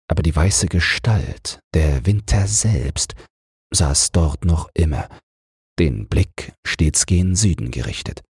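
Very deep, husky male bedtime storyteller. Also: darkish tone, dry recording, clean studio sound. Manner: measured, soothing storytelling. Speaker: audiobook reader. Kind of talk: slow bedtime fairy tale narration.

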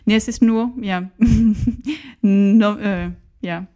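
A woman's voice: medium pitch, dark tone, dull audio. A woman from Paris speaking German as a second language with a French accent, a podcast guest in casual chat who halts and searches for words. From Paris, France. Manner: halting, searching for words, many fillers.

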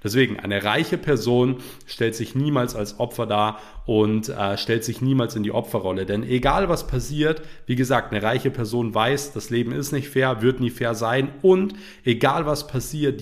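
A young man hosting a motivational podcast, talking earnestly while he has a cold, peppering his points with quick fillers. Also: mid-range voice. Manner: earnest, repetitive, frequent "ja" fillers. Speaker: podcast host.

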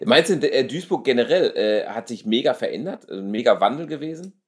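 A man with a medium-pitched voice chats casually as the interviewer, agreeing often and scattering slang and filler words. He grew up in the Ruhr area and keeps the tone easygoing. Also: animated, a little fast. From Ruhr area, Germany. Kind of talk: casual conversation.

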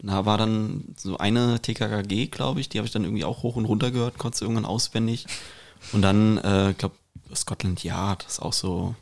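Low-voiced man in casual podcast chat. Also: steady tone, normal speed, gravelly. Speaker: podcast co-host.

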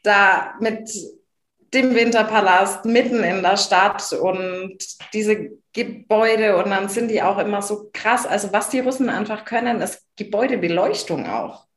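A woman's voice: mid-range voice, unhurried, roomy sound. A woman from Bavaria, talking as a podcast guest in a casual chat, enthusiastic and emphatic, with many fillers and restarts. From Bavaria, Germany.

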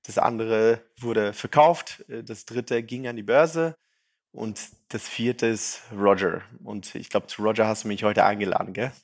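A man's voice: lowish voice, unhurried. A man in his thirties, raised in Switzerland, with a light French-tinged accent, chatting casually as an interview guest and using lots of fillers. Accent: light French-tinged Swiss. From Brazil, raised in Switzerland. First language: Portuguese and French. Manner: chatty, self-deprecating, many fillers. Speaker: startup founder, guest.

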